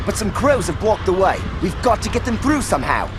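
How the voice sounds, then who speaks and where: A man playing a brash, excitable young owl in a fantasy video game, with a forceful delivery. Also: sits high, brisk, music in the background. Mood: urgent.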